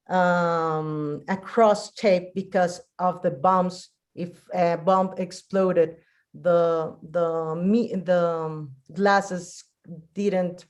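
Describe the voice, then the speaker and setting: Slow-talking Colombian woman, halting delivery. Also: fairly low pitch, a little mellow. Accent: Colombian Spanish. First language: Spanish. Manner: halting, searching for words in non-native English. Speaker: guest speaker. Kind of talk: unscripted personal storytelling.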